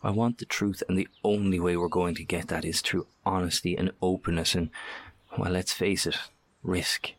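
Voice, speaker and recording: Low voiced earnest survivor, tired but steady, horror audio drama. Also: male voice, normal speed. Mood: earnest, weary. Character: steady, weary, earnest male survivor on the road.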